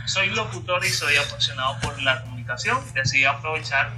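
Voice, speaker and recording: A Venezuelan man in his twenties, a radio announcer hosting a casual podcast chat, talking in a chatty, animated way and correcting himself as he goes. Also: a little high, fairly quick, far from the mic, over music, low-quality recording. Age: 20s.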